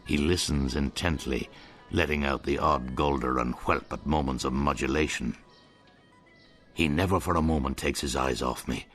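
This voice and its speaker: A man's voice: extremely low pitch, a bit bright. A man narrating in the first person as a piper in a radio drama, in a wry, dry storytelling manner.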